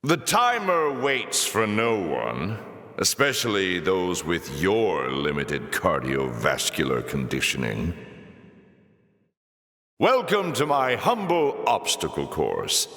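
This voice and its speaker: A haughty demon lord from a video game, speaks in a low voice that swoops dramatically. He draws out his mocking words with pompous relish. Long reverb trails every phrase. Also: wildly swooping pitch, a bit bright, full character voice. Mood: dry condescension. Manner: pompous, dry sarcasm with drawn-out theatrical delivery. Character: sardonic, theatrical demon lord taunting champions in his arenas. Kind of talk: scripted video game character voice lines. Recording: echoey hall with long reverb.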